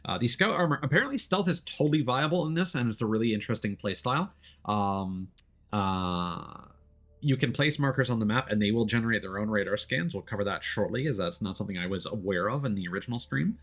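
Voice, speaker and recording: A man's voice: fairly low pitch, expressive, fast, a bit bright. A man streaming a video game, giving casual, rambling, informal commentary as he explains how it works. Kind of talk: casual let's-play commentary.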